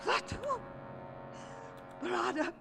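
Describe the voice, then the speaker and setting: A scared 50s radio sci-fi heroine, super high and creaky, very drawn out. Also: female voice, lively intonation, slow pace, exaggerated voice. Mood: terrified. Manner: breathless, emotional, melodramatic. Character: terrified, awestruck woman in a science fiction tale. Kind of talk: 1950s radio drama acting. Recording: old radio broadcast with music behind.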